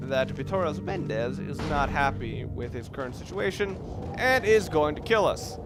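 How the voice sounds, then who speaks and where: Rambling young man commenting over gameplay. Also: on the high side. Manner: rambling, self-correcting. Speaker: let's play commentator.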